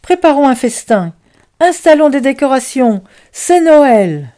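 A woman doing an excited, lonely male loner from a storybook. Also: high-pitched, measured pace, pitched above the performer's own voice. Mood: pleading, joyful. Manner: excited exclaiming. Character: lonely gruff male loner turned joyful.

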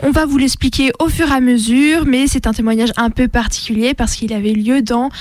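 A woman's voice: fairly high pitch, steady tone, a little fast, a little mellow, dry recording. A woman hosting a radio programme, presenting in a conversational way with some scripted narration. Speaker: radio host.

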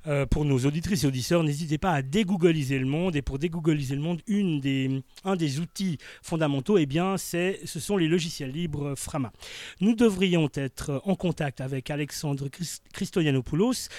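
A man's voice: a little high, normal speed, darkish tone, no echo. A man hosting a live radio interview from a studio, often rephrasing his questions as he goes. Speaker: radio host.

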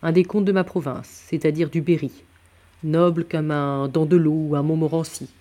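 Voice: medium pitch, fairly even intonation, moderate pace, dark tone, close to an everyday voice. A man reading a novel aloud as a sombre, bitter, hard-drinking nobleman musketeer, sardonic and abrupt.

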